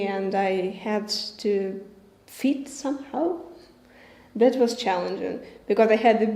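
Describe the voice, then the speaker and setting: Young woman director, halting Q&A answers; mellow tone, echoey room. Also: mid-range voice. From Ukraine. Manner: halting, searching for words, many fillers. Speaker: film director. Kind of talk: festival Q&A answers. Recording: roomy with faint music behind.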